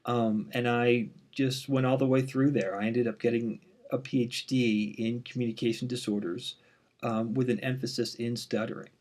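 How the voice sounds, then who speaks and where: Man with a mild stutter, casual and relaxed. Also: mid-range voice, moderate pace. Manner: relaxed, frequent "um" fillers, candid. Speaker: university instructor. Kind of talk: casual course introduction.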